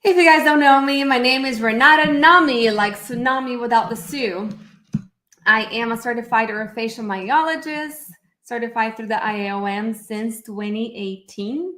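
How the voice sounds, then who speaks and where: A woman's voice: on the high side, normal speed, sharp tone, reverberant. A middle-aged woman with a Brazilian accent hosting a live online class, chatty and upbeat, thinking aloud as she shares her screen. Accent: Brazilian Portuguese. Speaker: therapy educator hosting a live webinar. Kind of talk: casual live online presentation.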